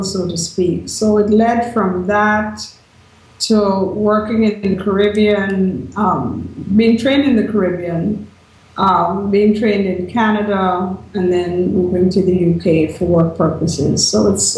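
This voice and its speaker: A woman from Guyana answers interview questions thoughtfully, pausing often with ums. Her voice sits at a middle pitch and rings out in a very echoey room. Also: fairly even intonation, darkish tone. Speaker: lawyer, interview guest.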